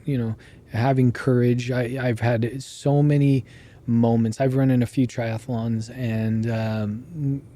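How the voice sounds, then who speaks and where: A man hosting a podcast, chatting casually with a hoarse voice that keeps going out and telling stories in an animated way. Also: medium pitch, slow. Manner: animated storyteller who laughs while telling. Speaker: podcast host. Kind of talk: casual interview chat.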